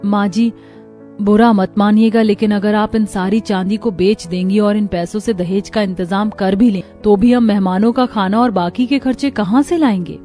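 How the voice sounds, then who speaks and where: A woman voicing a humble, hardworking young daughter-in-law in a cartoon story, polite and gentle, with faint music behind. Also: medium pitch, fairly even intonation, normal speed, natural voice.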